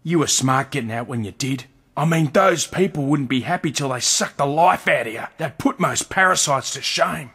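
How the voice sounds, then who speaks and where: A male actor playing a streetwise, excitable former squatter in a radio play, slangy and urgent, with a husky Australian accent. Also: mid-range voice, moderate pace, few pauses. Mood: reminiscing, intense. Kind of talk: radio drama acting.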